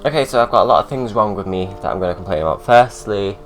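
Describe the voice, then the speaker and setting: Low-voiced man with a head cold. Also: expressive, quick pace, soft background music. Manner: chatty, sarcastic, ranting asides. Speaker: drag makeup vlogger. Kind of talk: casual chatty makeup tutorial.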